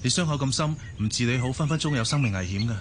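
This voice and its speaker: Man playing a fast-talking, sceptical male doctor. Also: mid-range voice, lively intonation, heavy background noise, lightly acted. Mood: concerned. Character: wary, sceptical male doctor, travelling companion. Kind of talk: Cantonese radio drama acting.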